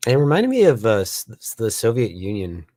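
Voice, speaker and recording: A man with a Dutch accent casually discussing films as a panelist, in a halting way that often repeats itself. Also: fairly low pitch, wildly swooping pitch, dark tone, no room sound. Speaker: film review panelist.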